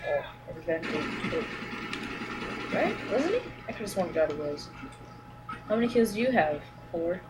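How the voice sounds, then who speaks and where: A woman's voice: lowish voice, big pitch swings, measured pace, pauses often, very noisy. A young woman chatting crudely and jokily with a friend while playing a game. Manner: crude, joking, rambly. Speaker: gamer. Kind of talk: casual gameplay chat.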